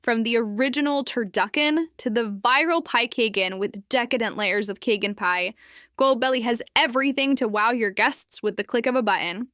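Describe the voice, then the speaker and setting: A woman with a fairly high voice reads a scripted ad. Her delivery is polished, upbeat and promotional. The sound is very dry and close, with no room to it. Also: a bit bright, dry recording. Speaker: advertisement voice-over.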